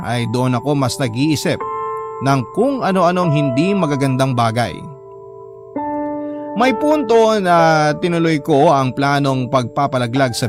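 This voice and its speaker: A man with a Filipino accent narrating a personal story in a dramatic, scripted radio style. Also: mid-range voice. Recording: music bed behind the voice.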